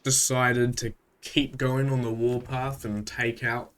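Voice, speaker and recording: A man with a stuffy voice from a cold, hosting a casual, relaxed recap of a strategy game with frequent fillers. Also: mid-range voice, slow pace, smooth. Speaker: gaming channel host.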